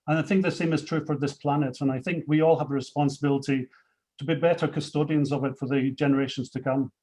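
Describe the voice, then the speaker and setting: A man's voice: mid-range voice, fairly even intonation, quick pace, a little mellow. A man from Scotland with a Scottish accent, a company chief presenting industry awards in a webinar, speaking unscripted with many fillers and restarts.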